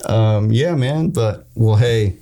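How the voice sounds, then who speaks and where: A man's voice: on the low side, takes it slowly, dark tone, slight background noise. A man with a Southern US accent hosting a podcast, talking in a casual, chatty way, joking at his own expense and laughing often. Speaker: podcast host. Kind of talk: casual interview chat. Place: recording studio.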